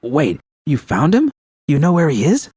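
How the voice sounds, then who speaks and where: A man voicing a troubled woman mercenary in a story, anxious and halting in speech. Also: a little high, wildly swooping pitch, a little mellow, husky, no room sound, clean studio sound, pitched above the performer's own voice, heavily acted. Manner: halting, hesitant.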